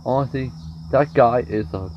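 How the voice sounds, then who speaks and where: A young man with a sore throat talks through a fan review of a show, rambling, repeating himself and circling back. His voice sits at an ordinary middle pitch. The recording sounds dull and lo-fi under a faint hiss. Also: normal speed. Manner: rambling, repeats and restarts words. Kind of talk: casual fan review vlog.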